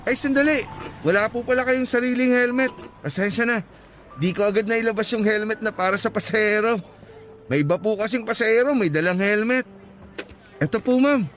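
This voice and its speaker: Very high-voiced polite young rider. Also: male voice, fairly quick, a bit bright, slight background noise. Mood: courteous, eager. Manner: eager, courteous, deferential. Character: polite, chatty, careful young motorcycle taxi driver. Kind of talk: radio drama acting.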